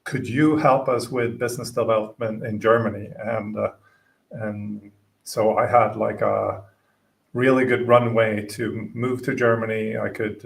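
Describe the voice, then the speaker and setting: Gravelly low-voiced man, Swedish native speaker. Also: distant mic. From Finland. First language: Swedish and Finnish. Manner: halting, many fillers and restarts. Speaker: podcast guest, gym owner. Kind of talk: casual interview chat.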